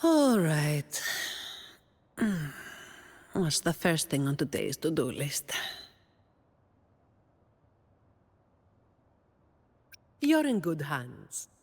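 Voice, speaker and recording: A woman's voice: sits low, in a large hall, heavily acted. A woman voicing a dutiful, weary young woman guardian in a video game, dry and composed with a confident edge. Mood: wry, composed. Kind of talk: video game character voice lines.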